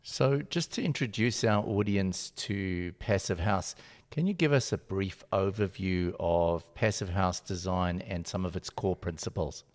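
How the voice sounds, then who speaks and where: A man's voice: low-pitched, unhurried, few pauses, no echo. A man hosting a podcast, reading a scripted introduction and asking upbeat, encouraging questions. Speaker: podcast host.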